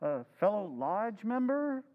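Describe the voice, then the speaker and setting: An actor playing a flustered, excitable henpecked husband in an old-time radio drama recreation. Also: male voice, high voice, slow, long reverb tail, phone-quality sound, exaggerated voice.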